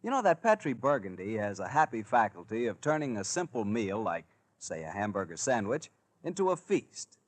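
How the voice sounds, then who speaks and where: A man's voice: fairly low pitch, sing-song, normal speed. An American man announcing in polished, folksy 1940s radio style, hosting and selling for the sponsor. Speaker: announcer and host.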